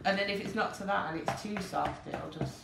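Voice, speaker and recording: A woman chatting casually about baking, relaxed and joking, as a grandmother. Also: mid-range voice, fairly quick, gravelly. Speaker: guest baker and grandmother. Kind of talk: casual chat. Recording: distant voice in noisy echoey kitchen.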